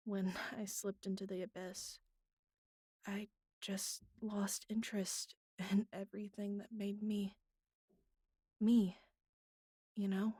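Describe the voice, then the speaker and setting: A woman playing a bratty but vulnerable young captive in an intimate audio roleplay, speaking haltingly with pleading, pouty turns and soft breathy asides. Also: mid-range voice, no room sound, studio quality. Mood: sad, fragile.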